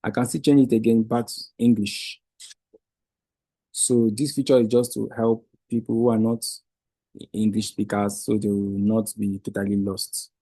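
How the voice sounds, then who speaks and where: A man's voice: fairly low pitch, little pitch movement, moderate pace, dark tone, no echo, low-quality recording. A man whose first language is Igbo, patiently walking viewers step by step through a screen-share tutorial. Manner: patient step-by-step explaining with restarts. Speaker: Wikidata trainer.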